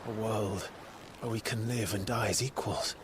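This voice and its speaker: A man's voice: lowish voice, fairly even intonation, normal speed, background noise, natural voice. An actor, in his thirties, playing a brooding, resolute male rebel leader in a dramatic video game cutscene. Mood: impassioned, determined.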